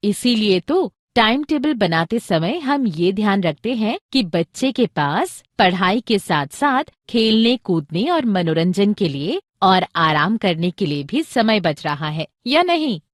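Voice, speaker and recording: A woman playing a practical, caring mother who explains things clearly and expressively in an educational drama. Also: medium pitch, sing-song, measured pace, few pauses, dry recording, studio quality. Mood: earnest, instructive. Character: practical, caring Indian mother explaining parenting to her husband. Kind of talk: scripted educational audio drama dialogue.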